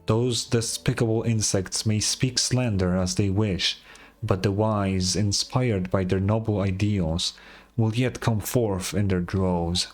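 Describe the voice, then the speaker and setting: A man's voice: on the low side, fairly even intonation, slow pace. A man voicing a pompous, devout bell ringer from a fantasy game, preaching in grand archaic phrases. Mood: disdainful. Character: pompous zealous male bell ringer, dreamy cult devotee. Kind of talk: game dialogue read in character.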